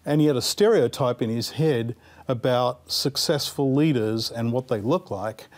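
A man's voice: mid-range voice, moderate pace. Reflective man telling a story on a panel. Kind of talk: panel discussion. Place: TV studio. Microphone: lapel.

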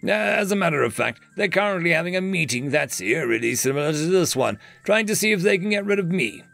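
A man's voice: fairly high pitch, lively intonation, brisk, creaky, fairly bright tone, soft background music, voice pushed up. A man voicing a cocky male bounty hunter who talks in a smug, joking, casual way.

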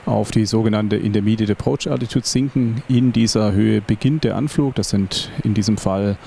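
A male airline captain in his forties talks through the flight in a calm, measured voice-over. His voice sits low with a gravelly edge, and he explains each step plainly and thoughtfully. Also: slight background noise.

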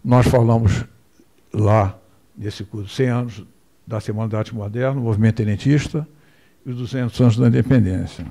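A man's voice: lowish voice, steady tone, normal speed, dark tone. Older man lecturing from a podium. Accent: Brazilian Portuguese. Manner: digressive, asks for the next slide. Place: hall with projector screen, indoors. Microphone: podium microphone.

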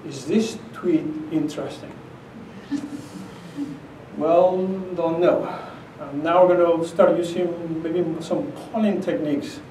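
A man's voice: on the high side, dark tone, a little hiss or hum. A middle-aged man from Argentina with an Argentine accent gives an emphatic, conversational conference talk, often checking in with "okay?". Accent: Argentine Spanish. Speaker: conference speaker. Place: conference room with projector screen. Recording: echoey conference room, captured from a distance.

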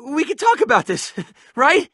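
A man's voice: very high voice, huge pitch swings, measured pace, bright, forceful tone, no room sound, studio quality, exaggerated voice. An actor voicing an arrogant young male rival gang leader in a video game, sneering and taunting with mocking laughs. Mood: panicked pleading.

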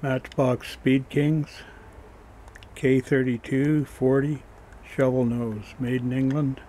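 A man giving a casual show-and-tell as a toy collector, low-key and matter-of-fact, on an amateur camera microphone. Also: little pitch movement, slow, dark tone. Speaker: toy collector showing his finds.

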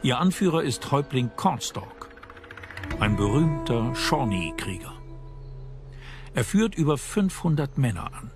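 A man reading scripted documentary narration in a measured, dramatic storytelling manner. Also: medium pitch, big pitch swings. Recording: music bed behind voice. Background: background music.

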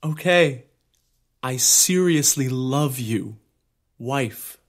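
An actor playing a loving, joking young groom in a naturalistic audio drama. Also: male voice, mid-range voice, expressive, drawn-out words. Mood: tender.

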